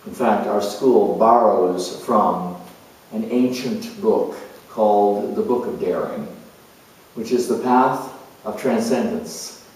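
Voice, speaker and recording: A man playing an earnest, self-assured spiritual coach in a dramatized monologue, reflective and halting, with deliberate pauses. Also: fairly low pitch, darkish tone. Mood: solemn, measured. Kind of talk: dramatized monologue as if answering an interview. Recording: distant mic in very echoey room.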